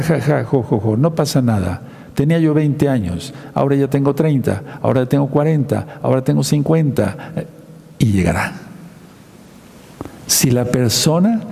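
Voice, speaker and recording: A man preaching a sermon, teaching slowly and repeating his key phrases for emphasis. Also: medium pitch, gravelly, mellow, breathy edge, long reverb tail.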